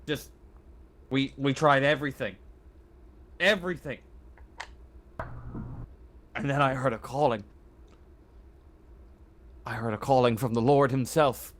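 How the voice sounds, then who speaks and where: A man voicing a haunted, fanatical crewman in a tabletop game, halting and stammering as he repeats himself. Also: medium pitch, fairly even intonation, fairly bright tone, slight background noise, close to an everyday voice. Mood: fervent, halting. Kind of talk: tabletop roleplay monologue.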